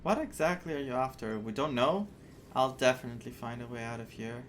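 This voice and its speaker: A woman doing expressive, exclaiming reaction commentary as she plays a story game. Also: very deep voice, brisk, slight background noise. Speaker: game streamer, playthrough host. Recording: game soundtrack under the voice.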